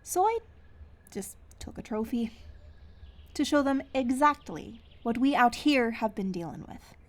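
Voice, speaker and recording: A woman playing a rugged, wry forest hunter in a fantasy audio roleplay, speaking in a dry, sardonic manner with hesitant pauses. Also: a little high, expressive. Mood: exasperated, boastful.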